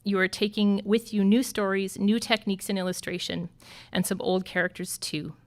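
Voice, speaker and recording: A woman teacher giving a graduation speech as a rhyming poem, in a sing-song rhythm that slows on key lines. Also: medium pitch, steady tone.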